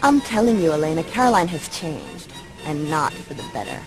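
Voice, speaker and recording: A woman's voice: sits low, very animated, fairly quick, poor audio. An actress playing a sarcastic, aggrieved young woman in a video game, speaking snippily. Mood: exasperated. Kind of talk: video game dialogue acting. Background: music.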